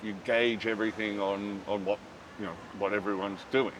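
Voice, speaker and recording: A man's voice: lowish voice, level tone, normal speed. A middle-aged Australian man telling stories in a casual interview, rambling and laughing often with lots of "you know"s. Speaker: interview guest. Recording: distant mic with city noise.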